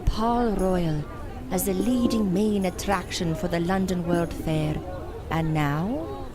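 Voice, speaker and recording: A woman playing a contemptuous, cryptic circus mystic in an audio drama, speaking in a deliberate, theatrical and cutting way. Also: on the low side, music in the background, a crowd in the background. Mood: haughty, needling.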